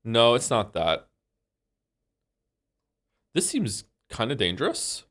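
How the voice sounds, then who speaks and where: A man around forty, a game streamer chatting casually on a live stream with a congested voice, rambling with many fillers and restarts in a low-key, mildly self-mocking way. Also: huge pitch swings, slow, a bit bright.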